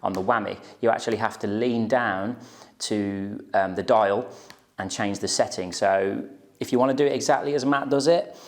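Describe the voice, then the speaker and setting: A man in his thirties giving an informal, explanatory guitar tutorial to camera, often checking in with the listener, recorded on a lapel mic in a small room. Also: lowish voice. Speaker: guitar teacher. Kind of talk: instructional tutorial talk to camera. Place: small plain indoor room.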